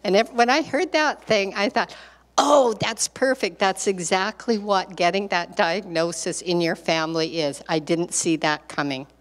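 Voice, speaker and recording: A woman's voice: medium pitch, steady tone, unhurried. An older woman speaking as a care partner on a panel, talking earnestly and encouragingly into a handheld microphone in a large meeting hall. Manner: earnest, encouraging, repeats key points. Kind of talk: panel talk, advice to audience. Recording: hall reverb.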